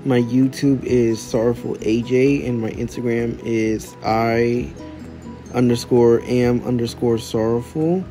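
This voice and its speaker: A teenage young man from the United States giving an earnest, deliberate self-introduction as a contestant. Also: medium pitch, steady tone, dark tone, soft background music. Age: teens.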